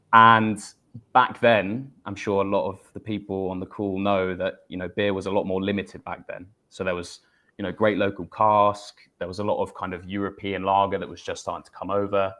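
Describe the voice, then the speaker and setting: A man's voice: deep voice, pushed and bright. A man joining a live stream over a video call as a guest, friendly and explanatory as he tells a story. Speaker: brewery marketing head, guest.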